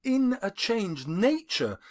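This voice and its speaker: A man voicing a gentle, sorrowful but resolute young woman in an audiobook of a classic novel. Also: mid-range voice, very animated, slow, pitched above the performer's own voice. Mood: sorrowful, firm.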